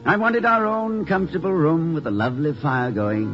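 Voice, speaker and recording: An actor playing a doting, dreamy college president in a 1950s radio drama, with a flustered, stammering, genteel way of talking, on a muffled old recording. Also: male voice, on the high side, very animated, moderate pace, soft background music. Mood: tender, playful. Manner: flustered stammering, effusive, genteel turns of phrase.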